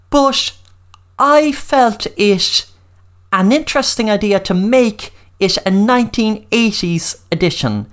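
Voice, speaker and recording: A woman with an Irish accent hosting a solo podcast, speaking emphatically and repeating words for stress. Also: mid-range voice. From Ireland. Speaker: podcast host.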